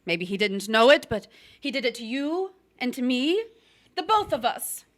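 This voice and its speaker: A woman playing a seductive, manipulative lover in a live radio-style drama, coaxing and deliberate. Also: a little high, very animated. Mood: coaxing, insistent. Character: smart-talking, seductive, manipulative woman in love, plotting. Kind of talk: live stage radio-play acting.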